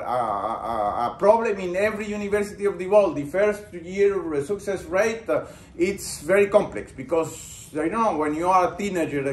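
A male lecturer with a rather high voice teaches a class, asking his students questions and then picking up their replies. The sound carries the roomy echo of a lecture room. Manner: poses questions to the class and builds on answers. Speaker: university lecturer.

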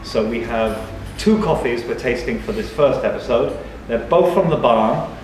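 A man's voice: medium pitch, expressive, normal speed, darkish tone, slight background noise. Roomy-sounding man in a cafe. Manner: enthusiastic, thinks aloud with restarts. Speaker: coffee reviewer and show host. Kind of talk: casual tasting chat. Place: dim cafe interior, in a small room. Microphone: far camera mic. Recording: roomy, distant, with music behind. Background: background music.

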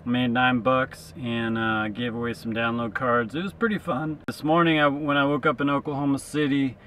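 A man's voice: medium pitch, level tone, normal speed, fairly bright tone, a little hiss or hum. A man in his thirties, a traveling street musician, thinking aloud in a loose, reflective vlog monologue from inside his car to a dashboard camera. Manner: reflective, musing, loose with fillers.

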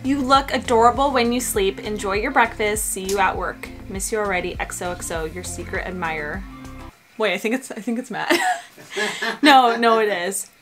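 A woman in her thirties chatting casually to a handheld camera at home, bubbly and quick to laugh. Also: mid-range voice, fairly bright tone, soft background music. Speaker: vlogger, new mom. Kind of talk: casual vlog chat. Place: large bright home kitchen and bedroom. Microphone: camera held at arm's length.

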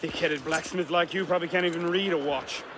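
A smooth-talking young rogue from a video game, played by a man. He is glib and cheeky in a slightly high voice, with clear noise in the recording. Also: fairly even intonation, fairly quick, natural voice. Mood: taunting. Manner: teasing, flippant, smooth-talking. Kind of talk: video game cutscene acting.

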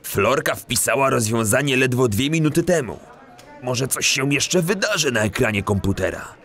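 Lively, reasonable older detective. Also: male voice, medium pitch, moderate pace. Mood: patient, helpful. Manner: patient, explanatory. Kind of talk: children's audio drama acting.

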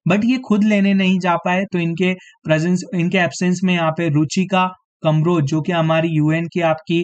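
A man with a fairly high voice leads an online quiz class for exam students. He is a bit hoarse and talks straight to his viewers, checking in with them after nearly every point. The sound is dull and lo-fi, like a streamed lesson. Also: level tone, normal speed. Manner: repeats "theek hai" as a tag, addresses students directly. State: slightly hoarse, clearing throat. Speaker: exam coaching teacher.

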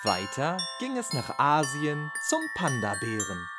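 A man's voice: medium pitch, huge pitch swings, unhurried, loud music behind the voice. A man narrating a children's bedtime story as a scripted narrator.

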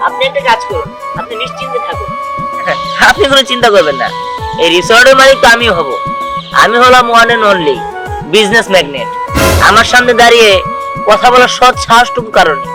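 Business magnate for web drama, male actor: high voice, pompous and self-assured, clear music behind. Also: lively intonation, brisk, pauses often, poor audio. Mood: boastful. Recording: music over the dialogue.

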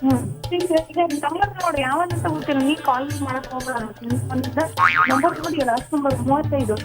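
A woman's voice: sits high, fairly quick. A woman, talking insistently and combatively on a phone call. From Karnataka. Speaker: prank call target. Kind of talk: heated phone conversation. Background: music.

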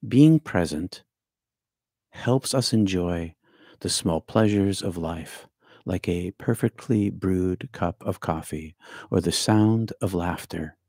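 A man's voice: sits low, slow, mellow, no room sound. An older man giving a scripted spiritual talk from a home study, reading from notes and dropping to a near whisper for emphasis, close on a studio mic. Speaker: spiritual teacher. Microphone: studio mic on stand with headphones.

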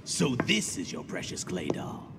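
An actor playing a haughty, violent aristocratic villain in a video game, sneering with contempt at those beneath him. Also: male voice, lowish voice, wildly swooping pitch, unhurried, vocal fry, noisy background, exaggerated voice. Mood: contemptuous. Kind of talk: video game cutscene voice acting.

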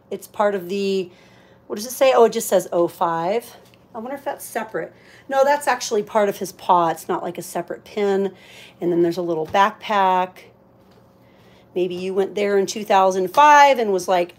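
A woman's voice: medium pitch, a little mellow. A woman hosting a live auction in casual, chatty talk, thinking aloud as she handles items. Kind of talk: casual livestream sales chat.

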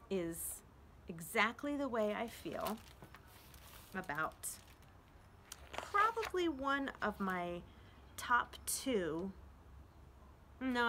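A middle-aged woman reading poetry aloud expressively with deliberate pauses and casual asides. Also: on the low side, pushed and bright. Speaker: children's librarian reading to an online audience. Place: outdoors on a house front porch. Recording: outdoor traffic noise, street ambience. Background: street traffic.

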